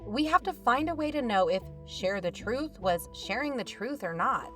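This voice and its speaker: A woman's voice: fairly high pitch, lively intonation, normal speed, fairly bright tone, far from the mic, soft background music. An actress playing an outspoken, exasperated investigator who urges her colleagues bluntly in a naturalistic audio drama. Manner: blunt, urging.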